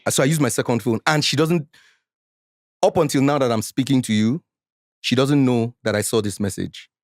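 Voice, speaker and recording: Animated Nigerian man in his forties. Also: medium pitch, moderate pace, dry recording, studio quality. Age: 40s. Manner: animated and defensive, slipping into Pidgin when heated. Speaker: guest. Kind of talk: casual podcast interview.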